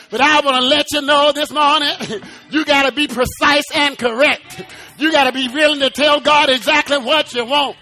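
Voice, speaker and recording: Male preacher, very high and bright, sung-shouted rhythmic preaching, repeated call-outs to the congregation. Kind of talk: sermon. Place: church.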